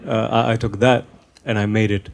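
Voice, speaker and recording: A man from Sri Lanka doing conversational stand-up comedy in a hesitant, deadpan way on a stage microphone at a live venue. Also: on the low side, moderate pace, creaky. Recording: noisy live show recording.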